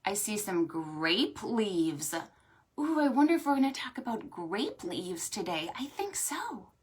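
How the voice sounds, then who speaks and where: A woman hosting a storytime for preschoolers, talking to small children in an animated, sing-song way. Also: medium pitch, measured pace, breathy edge. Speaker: children's librarian and storytime host. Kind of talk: preschool storytime with stretches and songs.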